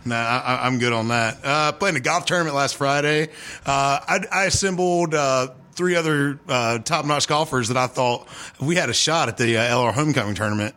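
Bright-voiced man in his thirties. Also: mid-range voice, fairly quick. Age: 30s. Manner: easygoing, storytelling, hesitant. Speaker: podcast guest. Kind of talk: casual sports podcast chat.

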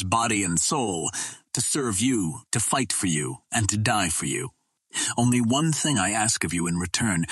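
A man reading an adventure novel aloud in a measured, earnest manner. His voice is low and husky. The recording is close and dry, with no room sound at all. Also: fairly bright tone, dry recording. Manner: measured and earnest first-person narration. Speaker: audiobook narrator. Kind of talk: dramatic audiobook reading.

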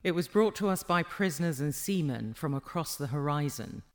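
A man's voice: fairly high pitch, expressive, normal speed. A man playing a formal, guarded physician in a fantasy audio drama, careful and deferential. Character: formal, guarded family physician testifying in court.

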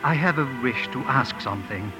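Actor as a shy foreign professor, swooping pitch. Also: male voice, lively intonation, moderate pace, close to an everyday voice, poor audio. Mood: tender, hesitant. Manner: hesitant, formal, courteous. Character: shy, humble, earnest foreign professor in love. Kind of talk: 1950s radio drama acting. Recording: old radio broadcast with music.

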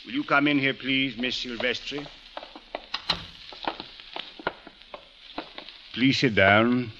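An actor playing a formal, exacting, impatient police captain in an old-time radio drama, speaking with precise authority. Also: male voice, medium pitch, moderate pace, lightly acted. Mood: commanding. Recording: muffled vintage radio recording, faint noise.

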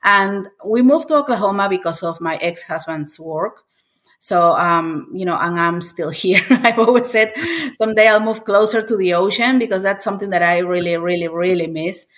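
A woman's voice: mid-range voice, moderate pace, a bit bright. A woman in her forties from Peru, with a Peruvian Spanish accent and Spanish as her first language, talking as a guest and often restarting phrases while she searches for words. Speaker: guest author. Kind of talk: casual interview conversation.

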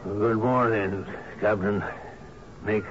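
An actor in a radio drama playing a grieving, reserved houseguest who speaks in a solemn, terse, polite way. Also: male voice, on the low side, fairly even intonation, takes it slowly. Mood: grave, subdued. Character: grave, grieving, reserved male houseguest on island. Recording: muffled, hissy old radio broadcast.

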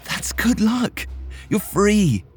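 A man's voice: very animated, drawn-out words, slight background noise, full character voice. A man voicing a pompous young male entrepreneur who pleads in a raised, strained voice. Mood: pleading. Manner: pleading, high-pitched.

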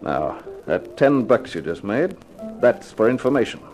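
A man's voice: medium pitch, sing-song, unhurried, low-quality recording. An actor playing a cool, wisecracking private eye in a 1950s radio detective drama, terse and wry in his slangy delivery. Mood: wry, casual. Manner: terse, wry, slangy tough-guy talk. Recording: vintage 1950s radio recording with music.

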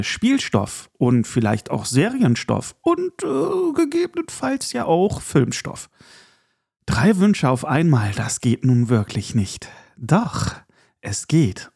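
A man's voice: medium pitch, gravelly, a little mellow. A man hosting a podcast, chatting informally through news and opinions and addressing the listener directly. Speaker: podcast host. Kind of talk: casual solo news and review commentary.

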